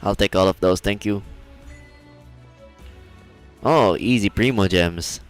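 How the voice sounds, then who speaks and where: A male game streamer talks casually in a deep, smooth voice. He muses aloud with hesitant pauses, close on a streaming mic, with faint game music behind him. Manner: musing, hesitant thinking aloud. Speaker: livestreamer, gamer. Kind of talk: casual live game commentary.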